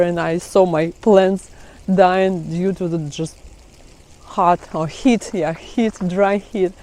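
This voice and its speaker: Middle-aged female vlogger with a Russian accent, relaxed and mellow, casual chatty delivery. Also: lowish voice. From Kazakhstan. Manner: chatty, laughs often, non-native phrasing. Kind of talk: casual vlog chat. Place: outdoors by trees in melting ice.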